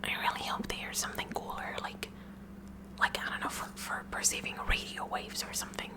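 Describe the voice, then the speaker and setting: A woman voicing a kooky, curious teenage science club president in a breathy, halting whisper, for an ASMR roleplay. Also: natural voice. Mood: curious, musing. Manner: halting, rambling, repeats words.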